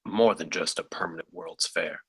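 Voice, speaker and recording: A man playing a grand, persuasive pitchman in a screenplay table read, with a presentational delivery. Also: moderate pace, vocal fry, fairly bright tone, breathy edge, no room sound, exaggerated voice. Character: grand, persuasive male pitchman unveiling a park.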